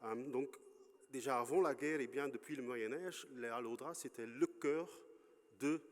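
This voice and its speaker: Flemish-accented Belgian man, lecturing in a reverberant hall, halting with frequent fillers, middle pitch. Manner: halting, searching for words, many fillers. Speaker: conference speaker. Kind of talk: academic lecture with slides.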